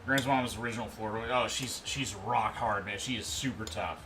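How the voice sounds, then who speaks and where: A man in his thirties hosting a livestream in a relaxed, rambling way, chatting and reading out viewer comments. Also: fairly low pitch, brisk. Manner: relaxed, rambling, answers chat as he goes. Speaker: livestream host. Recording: steady background noise.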